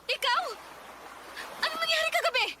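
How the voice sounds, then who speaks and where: A woman acting as a confused, hungover young woman in a radio drama, asking sharp, suspicious questions. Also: very high voice, moderate pace, heavy background noise.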